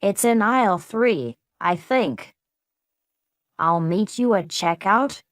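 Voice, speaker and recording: A woman playing a friendly everyday speaker in a beginner English lesson dialogue. Her voice is mid-pitched, and she takes each sentence slowly. The recording is close and dry. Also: expressive, no room sound. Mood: plain. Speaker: dialogue partner in English practice. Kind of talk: slow scripted practice dialogue.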